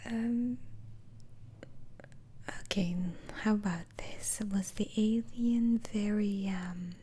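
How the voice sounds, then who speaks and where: A woman whispering softly and breathily in an ASMR roleplay as a gentle, reassuring doctor, asking questions in a hesitant way. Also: medium pitch, fairly even intonation, takes it slowly, breathy edge. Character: calm, reassuring asylum doctor questioning a patient.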